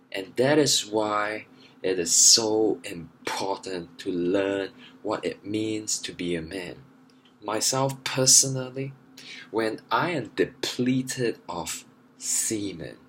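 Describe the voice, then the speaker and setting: A man's voice: on the low side, a bit bright, slight background noise, rough recording. A young man talking earnestly in a monologue, stressing his points by repeating phrases. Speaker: vlogger. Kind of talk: monologue to camera.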